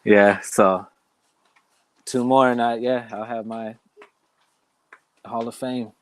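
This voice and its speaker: A man's voice: mid-range voice, steady tone, takes it slowly, a little hiss or hum, low-quality recording. A man in his thirties, a guest fighter in a casual interview, easygoing and polite with lots of "yes, sir". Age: 30s.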